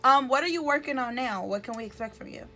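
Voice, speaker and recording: Slangy, slightly high-voiced woman interviewer. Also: lively intonation, quick pace, sharp tone. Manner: informal, slangy, chatty. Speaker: interviewer, host. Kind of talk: casual interview chat.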